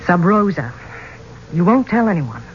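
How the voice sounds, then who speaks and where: An actress playing an excitable but wary young woman in a 1970s radio drama, speaking with wonder in halting phrases. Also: on the low side. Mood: guarded, confiding. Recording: muffled, noisy old radio recording.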